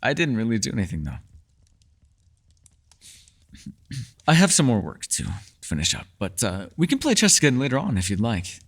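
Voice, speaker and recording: A man's voice: mid-range voice, huge pitch swings, fast. A man playing a mysterious, learned elf in a fantasy ASMR roleplay, talking to the listener in a bookish, rambling and playfully formal way. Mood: playful, friendly. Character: mysterious, learned male elf, talkative and gently teasing.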